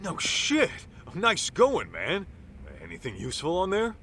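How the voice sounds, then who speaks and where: A man's voice: high-pitched, huge pitch swings, a little slow, fairly bright tone, exaggerated voice. A man playing a brash, streetwise private detective in a video game, talking bluntly in casual slang. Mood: pleased. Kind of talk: video game cutscene dialogue.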